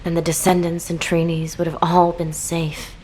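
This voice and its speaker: An actress as an earnest young woman soldier in a video game, prone to self-blame. She speaks in a low, solemn, respectful voice over slight noise. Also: flat delivery, moderate pace, a little mellow, lightly acted, poor audio. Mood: regretful.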